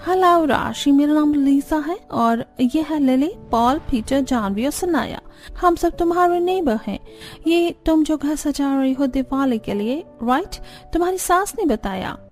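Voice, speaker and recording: A woman's voice: high voice, animated, unhurried. A woman voicing a friendly, enthusiastic neighbour in a cartoon, gushing and mixing in English. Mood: friendly enthusiasm. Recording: music bed under the voice.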